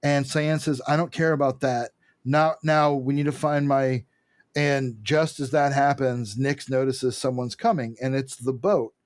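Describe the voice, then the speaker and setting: A man's voice: medium pitch, steady tone, unhurried, no room sound. A man co-hosting a podcast, casually recapping and commenting on a comic book in a conversational way. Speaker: podcast co-host.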